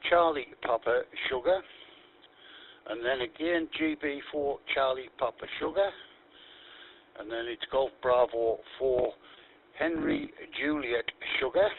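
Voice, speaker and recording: A man from the UK giving an event rundown on an amateur radio net, spelling call signs phonetically. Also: mid-range voice. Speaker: event organiser. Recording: phone-like radio audio, faint hiss.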